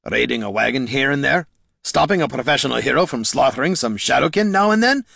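A man voicing a tough, shrewd male dwarf in an audiobook, folksy and rough-spoken. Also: medium pitch, animated, fast talker, bright, forceful tone, no echo, clean studio sound, voice pushed up, exaggerated voice. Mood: menacing. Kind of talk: audiobook character dialogue.

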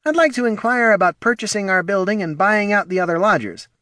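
A man voicing an earnest, kindly character speaking gently. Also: high-pitched, lively intonation, fairly quick, no room sound, studio quality, voice pushed up.

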